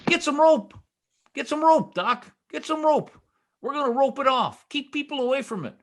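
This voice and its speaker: A man's voice: extremely high pitch, lively intonation, normal speed, background noise. A man playing a blustery, boastful small-town husband in old-time radio comedy, with folksy exclamations. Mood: frantic excitement. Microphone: video call microphone. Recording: video call recording.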